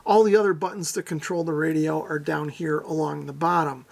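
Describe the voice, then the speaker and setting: A man's voice: a little high, fairly even intonation, brisk, creaky. A middle-aged man narrating a casual hands-on product demo, explaining things methodically and without hurry. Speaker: radio reviewer, presenter.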